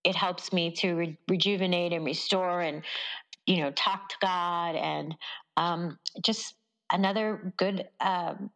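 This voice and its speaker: Canadian woman journalist, interview guest; earnest, reflective, quick to laugh. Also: lowish voice, fairly even intonation, a bit bright, rough recording. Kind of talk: casual interview conversation.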